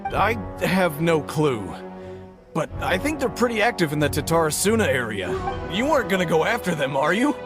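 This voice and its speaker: A man's voice: a little high, sing-song, moderate pace, music in the background. An actor playing a nervous, rattled male merchant in a video game, speaking anxiously. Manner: jittery, anxious.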